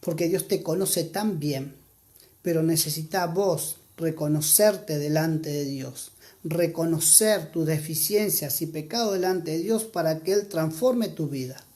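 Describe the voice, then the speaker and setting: A preacher with an Argentine accent, giving an earnest church sermon and reading scripture aloud, slowing down to stress key points. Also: medium pitch, darkish tone. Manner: earnest, exhorting, slows down for emphasis.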